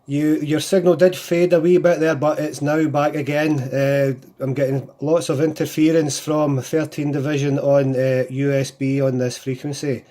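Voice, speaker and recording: Higher-voiced, mellow Scottish man on radio. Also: level tone, moderate pace, few pauses. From Scotland. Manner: repeats phrases, uses radio call phrases. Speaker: amateur radio operator. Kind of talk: casual CB radio contact chat.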